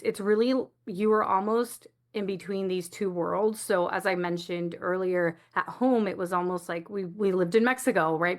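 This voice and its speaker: A woman from the United States answers as a guest coach in an interview. Her voice sits at a middling pitch. She explains things in a reflective, conversational way, drawing on personal examples. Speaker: guest, coach for first-generation community.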